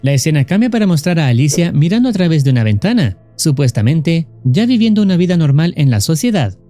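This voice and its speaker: A man's voice: a little high, very animated, quick pace, mellow, faint music behind. A man narrating a scripted story recap, explaining scenes as a narrator. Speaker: narrator of a horror series recap.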